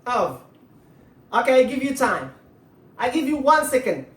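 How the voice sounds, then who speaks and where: A woman's voice: high-pitched, very animated, a little slow. A woman teaching an English grammar lesson, repeating herself and putting questions to viewers, saying words one at a time. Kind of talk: grammar lesson to viewers, writing as she explains. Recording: echoey room, distant mic.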